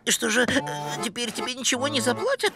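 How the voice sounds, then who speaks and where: A woman's voice: fairly high pitch, sing-song, a little fast, very noisy. A woman voicing a spirited, bossy, motherly owl lady in a cartoon, theatrical and instructive. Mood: concerned. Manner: theatrical, instructive, prone to chuckling. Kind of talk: animated cartoon voice acting. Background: music.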